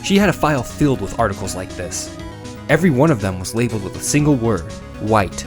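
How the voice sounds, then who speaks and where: A man's voice: lowish voice, big pitch swings, quick pace, music in the background. A man playing an earnest, dogged young defense lawyer in a voiced game drama. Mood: determined confrontation. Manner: musing aloud, then pointed and accusatory.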